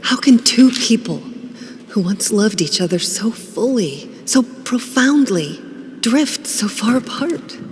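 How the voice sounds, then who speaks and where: An actress playing a melancholy, neglected wife in a video game, with a wistful, bitter and measured delivery. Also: female voice, a little high, animated. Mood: sorrowful. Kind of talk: dramatic game monologue. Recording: noisy, long reverberant hall sound.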